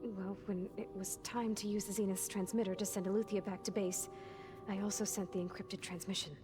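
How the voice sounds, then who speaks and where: A woman's voice: mid-range voice, little pitch movement, fairly quick, close to an everyday voice. An actress playing an anxious, defensive young clone woman in a video game, with clipped, formal, precise wording. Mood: guarded, subdued. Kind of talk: video game dialogue acting. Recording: music behind the voice.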